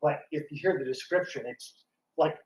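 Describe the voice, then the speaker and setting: A man's voice: on the high side, normal speed. A man chatting casually with an audience as a panel speaker at a talk. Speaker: radio theatre actor and panel speaker. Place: indoor talk in a roomy space. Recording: roomy, distant, noisy live room recording.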